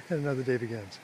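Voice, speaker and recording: A man's voice: medium pitch, very noisy. A man casually narrating a travel vlog, wry and chatty, chuckling to himself. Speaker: travel vlogger.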